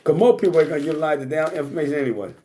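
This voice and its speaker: A man's voice: fairly high pitch, very fast, noisy background. A middle-aged man hosting a talk show, talking bluntly and casually, swearing freely. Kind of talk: casual monologue to live chat.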